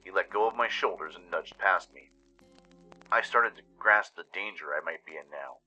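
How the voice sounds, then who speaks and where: A man's voice: low-pitched, normal speed, sharp tone, husky, muffled recording, soft background music. A man giving dramatic scripted narration of a story, as the host of a radio-style show.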